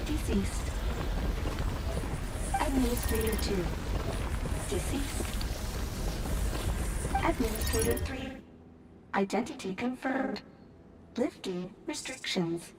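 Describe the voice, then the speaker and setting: An actress voicing a calm female system voice that makes flat, clipped announcements. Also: on the high side, takes it slowly, long pauses, slight background noise. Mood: mechanical.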